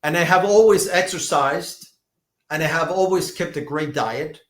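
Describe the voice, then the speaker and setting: A man in his fifties, a doctor being interviewed, telling stories in a lively way, often restarting and repeating words. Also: fairly high pitch, moderate pace, echoey room. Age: 50s. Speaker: functional medicine doctor, guest. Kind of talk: conversational podcast interview.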